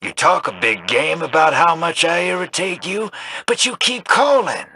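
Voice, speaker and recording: A wry rogue smuggler, drawling slang with plenty of swagger. His voice is husky yet bright and sits high. The pitch bounces all over as he talks. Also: lively intonation, moderate pace, heavily acted. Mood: cocky. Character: scrappy roguish male smuggler, cocky and wry. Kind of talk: video game voice lines.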